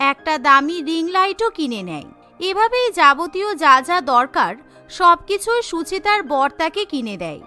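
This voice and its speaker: A woman's voice: extremely high pitch, pushed and bright. A woman reading scripted storytelling narration for a cartoon. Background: background music.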